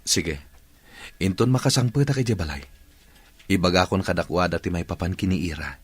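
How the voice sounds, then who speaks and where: An actor with an Ilocano accent playing a devoted, respectful young son in a radio drama. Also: male voice, low voice, fairly quick, slightly rough. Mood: earnest. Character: devoted, respectful young adult son, earnest about love.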